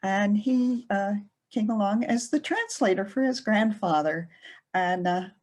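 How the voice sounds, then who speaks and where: A middle-aged woman librarian giving a presentation, speaking slowly with many pauses and fillers. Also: mid-range voice, lively intonation, darkish tone. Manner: slow, hesitant with many fillers. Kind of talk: presentation talk.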